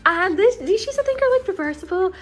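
A woman's voice: extremely high pitch, animated, fast. A young woman in her twenties giving a casual, giggly house tour to her viewers, chatting into a phone held at arm's length in a bedroom. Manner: giggly, bubbly, lots of "like" and "you guys".

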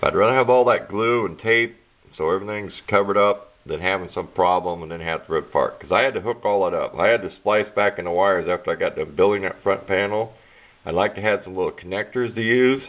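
A plainspoken, rambling man giving an informal hands-on walkthrough of a DIY project, recorded indoors on a handheld camera mic. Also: low voice. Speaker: DIY electronics hobbyist and presenter. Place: indoors at a home workbench. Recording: muffled, narrow phone-like camera audio.

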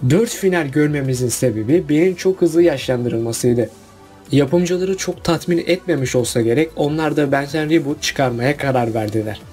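A man's voice: on the high side, normal speed, mellow, soft background music. A man narrating a casual countdown video about cartoon finales in a chatty voice-over.

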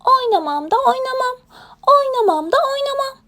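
A woman's voice: very high voice, animated, normal speed, sharp tone, pitched above the performer's own voice, heavily acted. A woman voicing a stubborn, whiny little female hedgehog in a children's storybook, defiant and pleading with insistent refusals.

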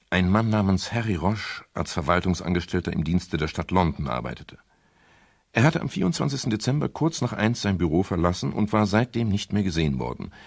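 An actor playing a wry private detective, narrating his case drily for a radio crime drama, with a gravelly, husky voice. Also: on the low side, darkish tone, no room sound, studio quality, full character voice. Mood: matter-of-fact. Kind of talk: scripted first-person radio crime narration.

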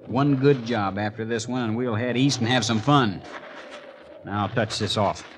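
An actor playing a scheming young outlaw who gives curt orders in a 1950s radio drama. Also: male voice, on the low side, moderate pace, close to an everyday voice. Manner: bossy, curt. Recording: noisy old radio broadcast.

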